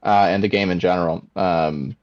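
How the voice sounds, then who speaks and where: Deep-voiced eager man, filler-heavy. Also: steady tone, normal speed, a little mellow. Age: late 20s. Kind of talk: casual group video call chat. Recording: dull lo-fi call audio.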